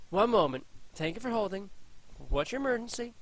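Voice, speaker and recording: A man voicing a deadpan, indifferent emergency call operator. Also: high voice, a little fast, poor audio. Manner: deadpan, bored.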